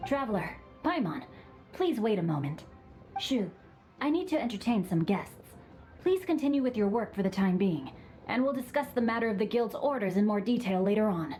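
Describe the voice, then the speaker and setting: A woman's voice: mid-range voice, animated, moderate pace, warm tone, soft background music, close to an everyday voice. A woman voicing a refined, witty young nobleman in a game, speaking in a courteous, formal, composed manner. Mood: calm. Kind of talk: game dialogue.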